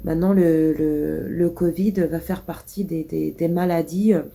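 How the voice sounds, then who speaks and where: Casting woman in her thirties, congested and coughing, low mellow voice, rambling vlog chat. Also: fairly even intonation, unhurried, gravelly. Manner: rambling, many hesitations. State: congested, stuffy nose. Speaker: vlogger.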